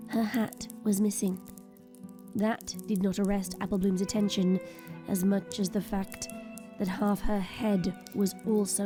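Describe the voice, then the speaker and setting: A woman narrating a scripted audio drama in a measured, ominous storytelling manner. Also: medium pitch. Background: music.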